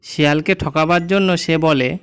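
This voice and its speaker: Smooth, clear folktale narrator. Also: medium pitch, normal speed, fairly bright tone. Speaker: storyteller, narrator. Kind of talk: scripted children's folktale narration.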